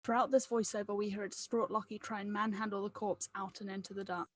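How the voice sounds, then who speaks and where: A woman's voice: medium pitch, fairly even intonation, fast talker. A woman reading scripted stage directions aloud at a table read.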